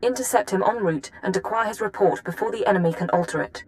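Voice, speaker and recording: A woman's voice: medium pitch, quick pace, close to an everyday voice. A woman playing a composed but urgent intelligence analyst in a video game, speaking in a precise, businesslike way. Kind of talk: scripted video game acting.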